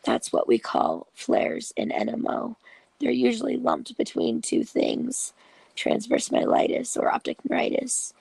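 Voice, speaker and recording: A host with a cold and a raspy, breathy voice, explaining a topic slowly and patiently in a casual vlog, often dropping into half-whispers. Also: mid-range voice, wildly swooping pitch, darkish tone, dry recording. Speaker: video host and patient support group leader.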